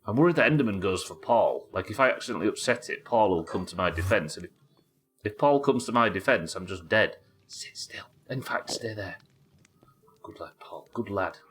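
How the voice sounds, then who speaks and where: Sniffly low-voiced man streaming a game. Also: animated, moderate pace, a bit bright. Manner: chatty, thinks aloud, talks to viewers and his in-game pets. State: sniffly with a head cold. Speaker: livestreaming gamer. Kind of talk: casual live gameplay commentary.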